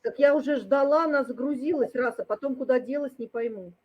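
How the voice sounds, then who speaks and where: A woman presenting at a conference, unsure of the software and asking repeated questions over a video call. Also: high voice, fairly quick, breathy edge. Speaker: conference speaker. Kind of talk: casual chat on a video call. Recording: dull lo-fi call audio.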